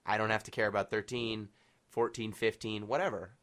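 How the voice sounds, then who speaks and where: Podcast host guy, slightly low voice, chatty, opinionated, joking back and forth. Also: steady tone, brisk, fairly bright tone. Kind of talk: casual chat.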